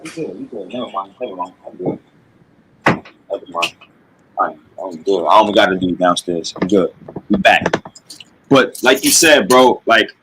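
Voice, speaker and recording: A man's voice: fairly low pitch, noisy background. A man hosting a casual livestream, talking informally with lots of slang and repeated phrases. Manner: informal, repetitive, slangy with frequent "bro". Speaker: livestream host.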